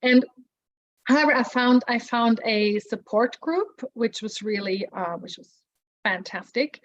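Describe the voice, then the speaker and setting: A woman's voice: fairly high pitch, a little slow, a bit bright. A woman, sharing her personal story hesitantly, with many restarts. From Austria. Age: 40s. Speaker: support group member. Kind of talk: personal testimony. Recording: muffled lo-fi audio.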